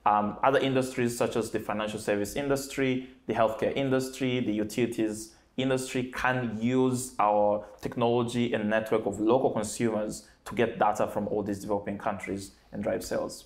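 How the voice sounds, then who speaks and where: Young man, founder, low voice, halting, lots of fillers, office, lapel mic. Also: flat delivery. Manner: hesitant, many restarts and fillers. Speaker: startup founder. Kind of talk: answering investor questions. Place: bright office with plants.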